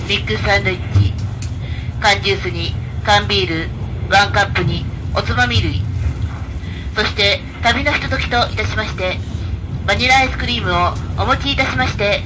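A woman working as a train refreshment attendant, giving a very polite, formal scripted sales announcement. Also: mid-range voice. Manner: very polite, formal, list-like delivery. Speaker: onboard refreshment cart attendant. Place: inside a moving express train, over the PA. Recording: train PA with loud carriage noise. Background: loud train running noise.

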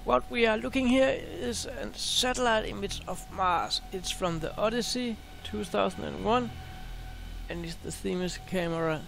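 A man hosting a space video, talking casually and excitedly over a screen share, often repeating words. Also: high-pitched, normal speed, gravelly, a little hiss or hum.

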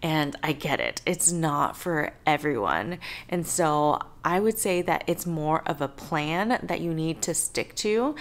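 A woman in her thirties explaining home cooking in an informal, conversational vlog style. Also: low-pitched. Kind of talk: casual vlog explanation to camera.

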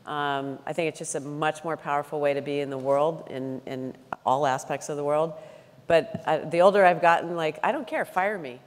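Moderator, woman; deep, loose and chatty; stage mic with long hall reverb. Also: moderate pace. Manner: casual, rambling, filler-heavy. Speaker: panel moderator. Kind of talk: panel discussion. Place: large conference hall stage. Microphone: handheld mic.

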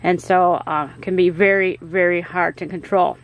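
Relaxed woman touring her garden. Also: on the low side, normal speed. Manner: relaxed, explanatory, frequent ums and uhs. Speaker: homestead gardener giving a garden tour. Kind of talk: casual garden tour narration. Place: outdoor backyard garden and greenhouse.

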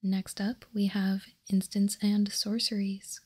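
A woman whispering softly in an unhurried, measured ASMR style as she reads out and comments. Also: medium pitch, level tone. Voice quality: breathy, unvoiced whisper. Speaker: host of a card-game ASMR show.

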